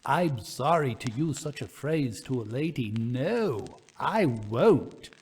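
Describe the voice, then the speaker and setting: A man's voice: medium pitch, animated, slow, darkish tone, slightly rough, heavily acted. A man playing a polite but stubborn country-house host in a told story. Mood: firm, polite. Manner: polite, firm. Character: obdurate genial male country-house host.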